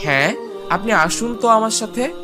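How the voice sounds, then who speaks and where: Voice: medium pitch, animated, normal speed, over music, lightly acted. A performer playing a polite, earnest and eager village boy in a cartoon. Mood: polite, helpful. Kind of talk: cartoon voice acting.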